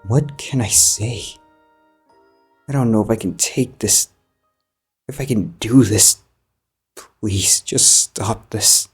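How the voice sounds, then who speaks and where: Guy reading as brooding hollow living-book pony, lowish voice, slow n dramatic, music under it. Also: breathy edge, full character voice. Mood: anguished, hollow. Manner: measured, halting, melodramatic. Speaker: narrator. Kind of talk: dramatic first-person fan fiction reading.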